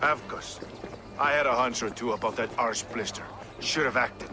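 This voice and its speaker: Actor as gruff, blunt dock watchman, mid-pitch, plain talk. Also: normal speed, noisy background, natural voice. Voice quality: blunt, crude-tongued.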